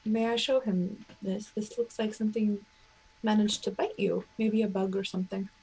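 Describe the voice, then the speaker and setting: Woman, husky voice, tabletop player, casual improvised narration, halting delivery. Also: mid-range voice, flat delivery, dark tone, poor audio.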